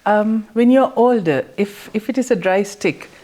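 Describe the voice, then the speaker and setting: A woman's voice: medium pitch, moderate pace, dark tone. Reflective Sri Lanka-born woman guest. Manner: plain, reflective storytelling with hesitations. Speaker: teacher and school owner, guest. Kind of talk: interview, recounting her life.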